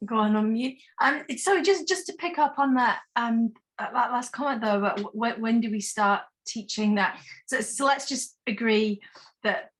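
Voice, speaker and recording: A woman facilitating an online workshop discussion, lively and questioning, with quick restarts. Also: a little high.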